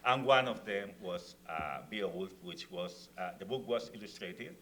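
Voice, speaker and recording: A man from Spain with a Spanish accent, speaking as a panelist to students, halting and full of fillers and restarts. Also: sits low, a bit bright, slight background noise. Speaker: festival panelist, comics author. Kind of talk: panel talk on stage. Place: university hall, in front of students. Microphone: lavalier clip mic.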